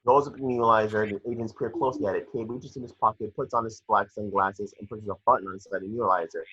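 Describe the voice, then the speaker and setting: A man's voice: fairly low pitch, steady tone, quick pace, a bit bright, husky, lo-fi sound. A man narrating action descriptions at a script read-through, matter-of-fact and sometimes stumbling over words.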